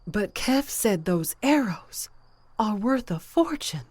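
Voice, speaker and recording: A woman's voice: medium pitch, big pitch swings, takes it slowly, darkish tone, dry recording. A woman playing a humbled but loyal bandit in a fantasy drama, with plain, folksy outlaw speech. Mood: humbled, sincere. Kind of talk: fantasy audio drama acting.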